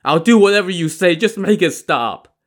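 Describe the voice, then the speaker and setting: A man's voice: medium pitch, expressive, moderate pace, sharp tone, pitched above the performer's own voice. A man voicing a frantic, gullible male traveler in a story, whining and pleading in exclamations.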